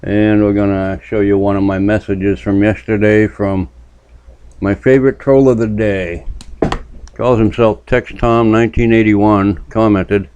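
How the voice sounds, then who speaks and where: Man from New Hampshire, deep voice, sardonic commentary host, muffled dry recording. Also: flat delivery, a little mellow, smooth. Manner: dry, sardonic, drawn-out remarks. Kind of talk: casual reaction commentary.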